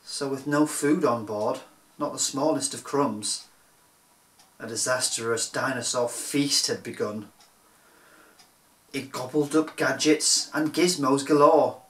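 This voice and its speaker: A man reads a rhyming picture book to children as a storyteller. His delivery is slow and theatrical, with a bright, forward tone. The recording has a roomy echo. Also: mid-range voice. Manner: theatrical, deliberate.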